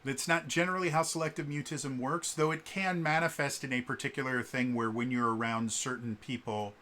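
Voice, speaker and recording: Bright-voiced man with a stutter. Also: medium pitch, fairly even intonation. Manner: halting, many fillers and repeated sounds. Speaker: vlogger. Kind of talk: casual spoken commentary to camera.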